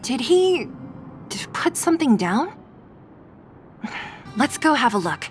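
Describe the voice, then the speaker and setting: A young female game adventurer with a fairly high voice and an unhurried delivery. She is curious but careful, thinking aloud in a hesitant way. Music plays clearly underneath. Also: sing-song, exaggerated voice. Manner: cautious, thoughtful, hesitant. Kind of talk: video game voice acting.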